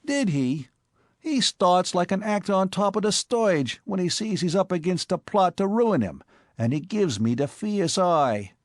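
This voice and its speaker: A man voicing a brash, streetwise young male crook with a New York Bowery accent and slangy, excitable talk. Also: high-pitched, measured pace, no echo, studio quality, pitched above the performer's own voice. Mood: excited.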